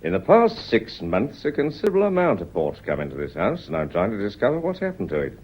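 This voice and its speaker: Deep, husky, wily police inspector. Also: male voice, huge pitch swings, quick pace. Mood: smooth, sly. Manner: courteous, probing, drily understated. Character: shrewd, genial male police inspector, older, deferential yet probing. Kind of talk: radio drama acting. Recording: muffled old radio drama recording.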